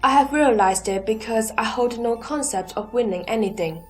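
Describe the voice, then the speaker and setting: High-voiced, earnest questioning disciple played by a woman. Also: a little mellow, close to an everyday voice. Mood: earnest, challenging. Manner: formal, questioning, measured. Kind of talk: scripted sutra audio drama. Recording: background music under the voice.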